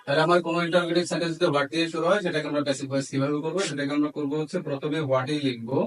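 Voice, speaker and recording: A man's voice: a little high, steady tone, racing, soft background music. A man with a Bangladeshi accent teaching step by step, mixing Bengali with English terms and dictating piece by piece. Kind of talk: instructional lesson, explaining step by step.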